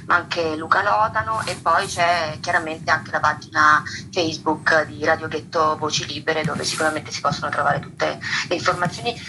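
A woman's voice: low-pitched, fairly even intonation, normal speed, hardly any pauses. A woman, an actress, speaking informally in a radio interview over the phone, often checking in with a short question tag. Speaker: stage actress being interviewed. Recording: noisy phone line.

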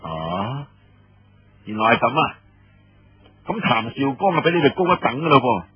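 A man voicing an imperious, sly senior army commander in a Cantonese audiobook story, questioning his listeners in a commanding, drawn-out way. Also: mid-range voice, sing-song, breathy edge. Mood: sly, probing. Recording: muffled lo-fi roomy radio-like recording.

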